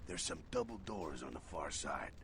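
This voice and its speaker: A man playing a gruff, streetwise vampire mentor in a video game, giving terse orders in casual street slang. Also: rumbling low, animated, normal speed, background noise. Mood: brisk, instructive.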